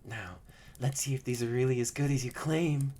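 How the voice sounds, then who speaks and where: Woman voicing a very low-pitched, bratty catboy. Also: moderate pace. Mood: surprised, grudgingly pleased. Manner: bratty, bossy, flustered, lapsing into soft murmurs. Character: haughty, tsundere young male catboy, secretly soft-hearted. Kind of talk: scripted roleplay audio drama, addressed to the listener.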